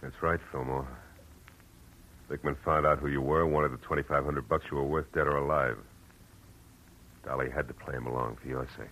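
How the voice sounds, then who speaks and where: An actor playing a hard-boiled, world-weary but compassionate private detective in 1940s radio drama style. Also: male voice, very deep voice, fairly even intonation, moderate pace, long pauses, sharp tone, exaggerated voice, poor audio. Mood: firm, matter-of-fact. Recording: old radio recording with music.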